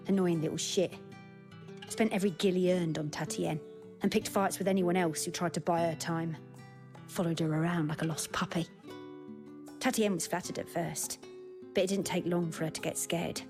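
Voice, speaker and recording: Actress for frank, gossipy British brothel girl in a game: lowish voice, earthy, blunt, music behind. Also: fairly even intonation, moderate pace, natural voice. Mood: scornful, frank. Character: blunt, sardonic young working girl in a fantasy brothel. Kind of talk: video game cutscene acting.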